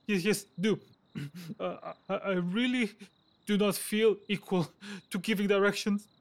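A high-pitched, timid gentleman in a classic mystery audio drama. His delivery is fretful and faltering, full of nerves. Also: fairly even intonation, measured pace. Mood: flustered. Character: nervous, timid gentleman, high-strung and frightened.

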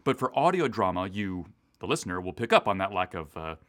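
Low-voiced affable male co-host. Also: sing-song, brisk, a bit bright. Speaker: podcast co-host. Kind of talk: casual podcast chat, lightly prepared. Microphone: home recording setup.

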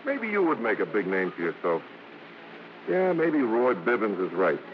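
Brash wisecracking vaudeville hoofer, swooping delivery. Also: male voice, on the low side, wildly swooping pitch, moderate pace. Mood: guarded, jealous. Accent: American. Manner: breezy, slangy, teasing. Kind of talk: 1940s-50s American radio drama acting. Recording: noisy, muffled old radio broadcast.

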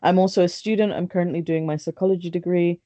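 A woman's voice: on the low side, steady tone, fast, warm tone, no room sound. A woman, a guest talking candidly and chattily about her life, with lots of restarts and fillers. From born in Bangladesh, raised in London. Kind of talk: casual podcast interview. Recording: dry, dull lo-fi sound.

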